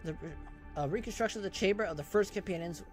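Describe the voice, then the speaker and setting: A man gives offhand, joking commentary while he plays a video game. His voice sits fairly high. Faint game music runs behind him. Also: fast. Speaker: gameplay streamer. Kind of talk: casual gameplay commentary.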